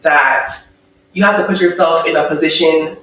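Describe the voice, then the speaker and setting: A woman's voice: deep voice, steady tone, fairly bright tone, a little hiss or hum. Woman speaking earnestly in an echoey room. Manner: earnest, thoughtful, measured with pauses. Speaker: interview guest. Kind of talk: reflective personal testimony. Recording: echoey room, mic far off.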